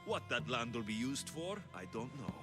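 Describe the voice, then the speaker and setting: An actor voicing a confident, protective mercenary in a video game, firm and direct. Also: male voice, mid-range voice, sing-song, normal speed, natural voice. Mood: confronting. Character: confident Greek mercenary man, protective, blunt, calm. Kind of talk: video game cutscene acting. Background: background music.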